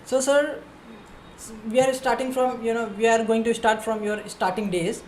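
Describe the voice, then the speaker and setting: A woman's voice: a little high, steady tone, moderate pace, a little mellow, echoey room, a little hiss or hum. A woman hosting an interview podcast, speaking informally and giving frequent short murmurs of agreement. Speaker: podcast host.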